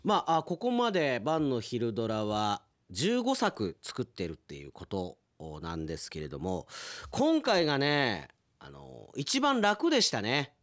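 A man's voice: mid-range voice, huge pitch swings, measured pace, fairly bright tone, no echo, studio quality. A man hosting a radio show, chatting casually and playfully like an announcer, with conversational asides.

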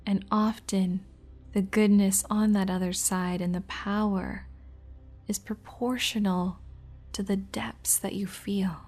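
Slow, breathy woman guiding a meditation. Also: mid-range voice, little pitch movement. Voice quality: soft, breathy, often near a whisper. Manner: gentle, measured, with long pauses between short phrases. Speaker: guided meditation host. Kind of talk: guided meditation, soft soothing narration. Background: music.

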